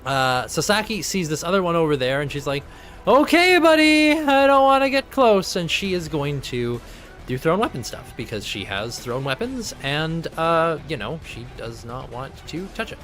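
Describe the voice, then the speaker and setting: A man's voice: a little high, moderate pace, faint music behind. Canlı bir masaüstü oyununu yöneten, hareketli ve geveze bir adam; sesi hemen her erkeğe göre biraz ince ve iniş çıkışları bol. Kuralları yüksek sesle düşünerek anlatıyor, arkada hafif müzik var. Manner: animated, chatty, thinks aloud about rules. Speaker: game master. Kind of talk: narrating a live tabletop game. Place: home streaming setup.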